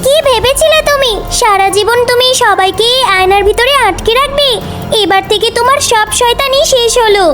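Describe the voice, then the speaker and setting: A woman's voice: very high voice, normal speed, over music. A woman voicing a brave, earnest young girl heroine in a cartoon, speaking expressively. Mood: defiant. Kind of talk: cartoon character acting.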